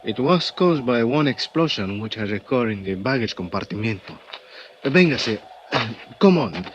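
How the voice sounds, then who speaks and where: Man voicing an earnest Mexican official, Spanish-accented halting English, dull old radio recording, faint hiss. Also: medium pitch, animated, natural voice. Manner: halting, searching for English words, with "uh" fillers. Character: earnest Mexican male official at a crash site. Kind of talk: 1950s radio drama acting.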